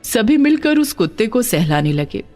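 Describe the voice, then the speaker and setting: Expressive woman narrating a story, lively pitch. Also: normal speed, faint music behind. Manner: dramatic, expressive.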